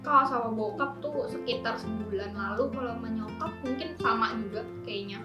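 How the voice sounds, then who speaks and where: Perempuan 18 tahun, suara agak tinggi. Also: female voice, on the high side, a little fast. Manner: relaxed, thoughtful, slangy. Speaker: interviewee. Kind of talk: casual interview answers. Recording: roomy echo with music behind. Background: background music.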